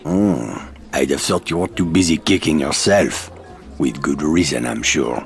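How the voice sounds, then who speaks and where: A man's voice: very deep voice, lively intonation, a little slow, creaky, soft background music, full character voice. An actor playing a goading, passionate French resistance man in a video game, with a French accent and theatrical delivery. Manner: provoking, theatrical. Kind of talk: dramatic video game acting.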